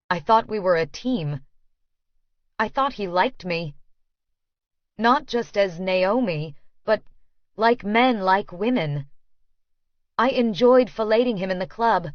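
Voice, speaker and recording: A woman reading first-person fiction as an audiobook narrator, with a measured, dramatic delivery. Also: medium pitch, no echo, highs cut off.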